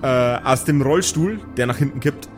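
A man's voice: medium pitch, normal speed, music in the background. A man improvising narration as the game master of a tabletop role-playing audio play.

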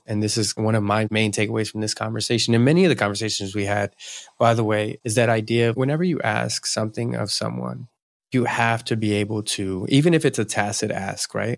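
A man hosts an interview, working through long, thoughtful questions and recaps. His voice is low-pitched. The sound is dry and close, with no room around it. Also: creaky, dry recording. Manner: reflective, long-winded questions. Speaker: podcast host. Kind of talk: interview hosting.

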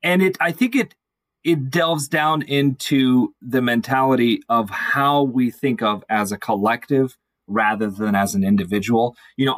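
A man speaking as a podcast guest, thoughtful and measured, building his points in speechlike lists. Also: medium pitch, a bit bright, dry recording, low-quality recording. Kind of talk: thoughtful conversational discussion.

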